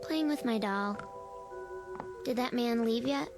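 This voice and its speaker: A woman playing an eerie, knowing little girl in a radio drama, speaking in deliberate, probing short phrases. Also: on the high side, music in the background. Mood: matter-of-fact. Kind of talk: old-time radio drama acting.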